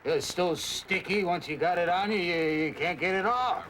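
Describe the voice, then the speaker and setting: An actor playing a hapless, idle gold miner in a folksy television western, with a halting way of talking. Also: male voice, sits high, a bit bright. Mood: sheepish, dejected. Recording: noisy VHS tape transfer.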